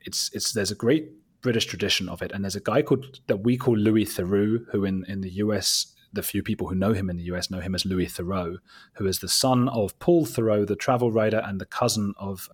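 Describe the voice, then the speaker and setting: A man with a husky voice, a guest telling casual, rambling anecdotes in a conversational, informal way, often interrupting himself. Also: lowish voice, fairly quick. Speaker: interview guest, documentary maker.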